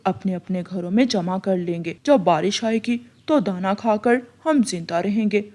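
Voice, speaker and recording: A woman voicing a sensible, hardworking male pigeon in a children's cartoon, explaining things in a measured way. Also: mid-range voice, warm tone, natural voice. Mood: calm, matter-of-fact. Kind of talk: Hindi children's cartoon voice acting.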